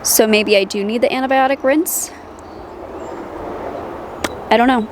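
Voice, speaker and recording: Female vlogger, higher pitch, nasal tone, informal and hedging, recorded in a car with heavy vehicle noise. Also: fairly even intonation, unhurried. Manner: unsure, hedging, thinking aloud. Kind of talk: casual chat to camera. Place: inside a car. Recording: loud car and road noise. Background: loud vehicle noise.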